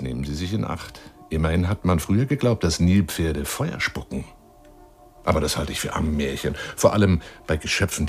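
Theatrical, wildly swooping obsessive hunter. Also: male voice, fairly low pitch, vocal fry, breathy edge, full character voice. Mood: amused, teasing. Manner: effusive, insinuating, swinging between whispers and exclamations. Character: eccentric, obsessive lion hunter wooing a woman by telephone. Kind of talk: theatrical radio play monologue.

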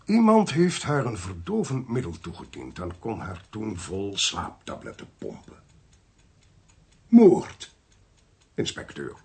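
An actor playing a smug, sly, domineering government investigator in a Dutch radio play, pompous and precise. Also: male voice, lowish voice, big pitch swings, slow pace, pauses often, mellow, breathy edge, heavily acted. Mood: intent.

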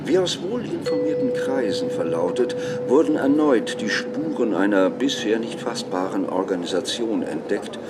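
An actor playing an emphatic propaganda announcer of a totalitarian future state, official and declamatory, in a radio play. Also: male voice, lowish voice, lively intonation, normal speed, few pauses, very noisy, lightly acted. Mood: grave, official.

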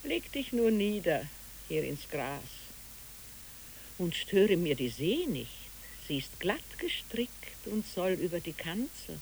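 Slow, swooping, dreamy country woman. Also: big pitch swings, gravelly, darkish tone, exaggerated voice, rough recording. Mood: calm, gently commanding. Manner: slow, musing, swooping in pitch. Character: dreamy, unhurried country woman who knits the sea. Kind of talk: literary radio play acting. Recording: hissy old radio recording.

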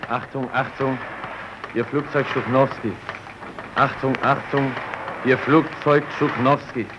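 A man playing a stranded polar radio man in a German radio drama. He repeats his calls insistently in a medium-pitched voice, dulled and set in clear noise. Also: steady tone, slow pace, crowd noise, natural voice. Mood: urgent. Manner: insistent, formulaic announcing. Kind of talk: German radio play acting. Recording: dull, noisy radio drama recording.

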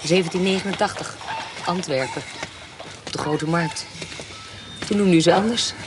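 A woman's voice: on the low side, normal speed, natural voice. Actress as a determined noblewoman amid noise. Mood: insistent. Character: determined noblewoman, sharp-memoried and persistent. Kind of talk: radio play acting. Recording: noisy ambience around the voice.

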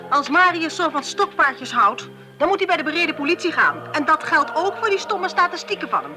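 An actress playing a sharp-tongued, impatient police inspector in a Dutch radio drama, sarcastic and brusque, with a Netherlands accent. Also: female voice, high voice, sing-song, a little fast, music in the background. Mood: indignant, irritated.

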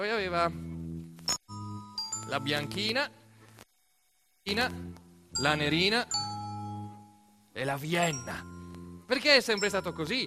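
Higher-voiced man telling a theatrical story. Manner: dramatic, short phrases, as a boy recalling family. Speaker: actor-narrator. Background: music.

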